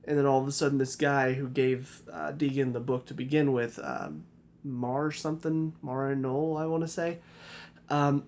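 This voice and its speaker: A man's voice: mid-range voice, fairly even intonation, normal speed, a little mellow, a little hiss or hum. A man giving a casual spoken review of a TV episode, critical and rambling, often restarting his sentences.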